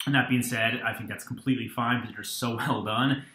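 A man's voice: mid-range voice, fairly even intonation, fairly quick, bright, forceful tone, roomy sound. A young man in his twenties casually reviewing a beer, rambling with lots of ums and restarts, filmed on a webcam at a desk in a small room. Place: small dorm-like room indoors.

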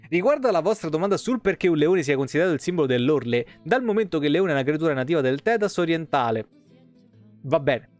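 A man, a game streamer reading lore aloud with casual, irreverent asides. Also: on the high side. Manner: irreverent, foul-mouthed asides. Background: background music.

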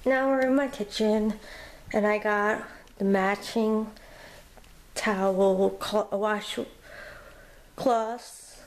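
A woman filming a casual tour of her home and naming each item as she goes, pausing and restarting often. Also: medium pitch, steady tone. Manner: halting, with frequent ums and restarts. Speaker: vlogger giving a home decor tour. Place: inside a home, walking room to room. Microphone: handheld camera held by the speaker.

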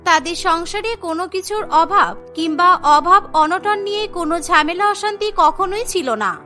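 Female storyteller, extremely high voice, scripted cartoon narration with music behind. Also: animated, moderate pace, sharp tone.

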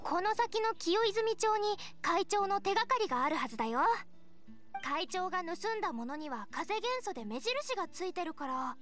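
A woman voicing a calm, observant young heroine in an anime game. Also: very high-pitched, moderate pace, music in the background. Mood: calm, explaining.

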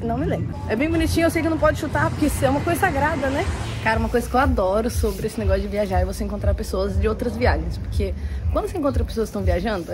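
A young woman with a Brazilian Portuguese accent, chatting and joking to her phone camera while she travels outdoors. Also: a little high. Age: young adult. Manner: chatty, joking, self-mocking asides. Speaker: travel vlogger. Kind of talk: casual travel vlog to camera. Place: outdoors on tropical streets and a beach club. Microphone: phone camera held at arm's length.

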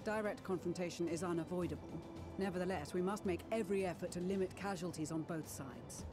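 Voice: medium pitch, a little fast, over music, lightly acted. An actor playing a composed, principled commander, speaking formally and with measure in scripted game dialogue. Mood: composed, resolute. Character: composed, principled commander giving a military briefing.

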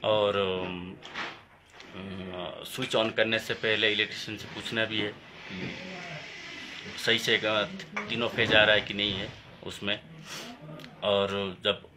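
A young worker listing safety checks step by step, with hesitant fillers, in a wood-panelled room. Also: male voice, on the low side, level tone, slow pace, long pauses, noisy background. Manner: methodical, steps listed one by one, hesitant fillers. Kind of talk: on-site interview.

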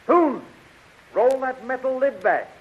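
Gallant, animated space hero. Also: male voice, very high voice, slow, husky, heavily acted. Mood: urgent. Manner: earnest, emphatic. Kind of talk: 1930s radio serial acting. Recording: dull, hissy 1930s radio recording.

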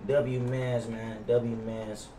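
A man's voice: medium pitch, flat delivery, very slow, distant mic. A young American man streaming a game, chatting casually in brash slang with heavy swearing. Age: young adult. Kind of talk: casual gaming livestream chat with role-play banter. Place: indoor room at streaming setup. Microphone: gaming headset. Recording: roomy, off-mic, faint noise.

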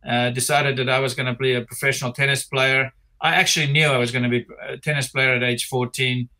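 A man in his sixties with a South African accent, chatting as an interview guest over a video call from his home office, rambling and relaxed with many fillers and restarts. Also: medium pitch, fast. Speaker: interview guest, retired tennis player. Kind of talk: casual interview chat. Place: home office with framed pictures.

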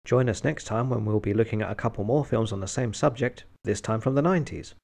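A British man giving a scripted review narration in a deadpan, sardonic, sweary manner. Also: fairly low pitch, fast talker, vocal fry. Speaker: film reviewer.

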